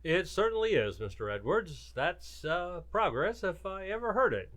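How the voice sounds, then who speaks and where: A man's voice: high voice, sing-song, moderate pace, fairly bright tone, no room sound. A man playing a polite, flustered suburban husband in a radio comedy, hesitant and placating. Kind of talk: radio comedy theater acting.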